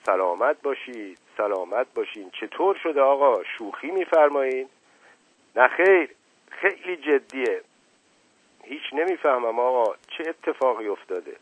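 A man's voice: mid-range voice, big pitch swings, husky, no room sound. A man voicing a polite, flustered family doctor who soothes and placates. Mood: placating. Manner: soothing, placating, repeats himself. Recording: tinny narrow-band, dry.

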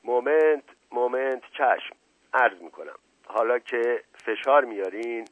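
Man as melodramatic gentleman suspect, tinny audio. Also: medium pitch, close to an everyday voice. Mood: protesting. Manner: halting, sighing, melodramatic. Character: wily aristocratic gentleman suspect, mock-bashful and theatrically lovelorn. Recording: roomy lo-fi radio-like narrow band.

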